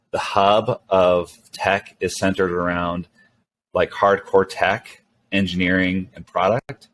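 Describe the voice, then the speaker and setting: Deep-voiced man, relaxed and rambling. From Venezuela, raised mostly in California. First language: Spanish. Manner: relaxed, rambling with many fillers and restarts. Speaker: guest, startup co-founder and CEO. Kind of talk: casual interview conversation.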